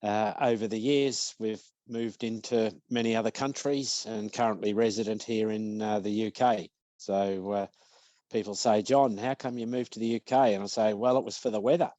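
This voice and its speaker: A man's voice: fairly low pitch, steady tone, moderate pace, mellow, no room sound. Joking Australian businessman in his sixties. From Australia (Victoria). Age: 60s. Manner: anecdotal, jokey storyteller. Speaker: business owner and guest. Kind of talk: casual interview storytelling.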